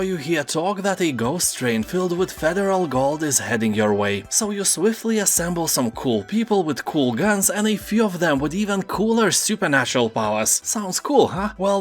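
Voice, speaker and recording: A man presenting game deals in scripted narration, slipping in dry, joking asides. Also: a little high.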